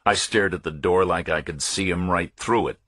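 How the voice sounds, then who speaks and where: A man reading a first-person thriller audiobook as narrator, measured, restrained and matter-of-fact. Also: sits low, a bit bright, slightly rough, no room sound, studio quality.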